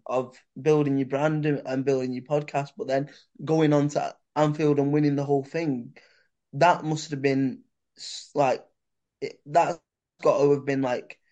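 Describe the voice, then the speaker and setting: A man's voice: on the high side, mellow. A man hosting a casual podcast chat, rambling and filling his speech with "sort of" and "like". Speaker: podcast host.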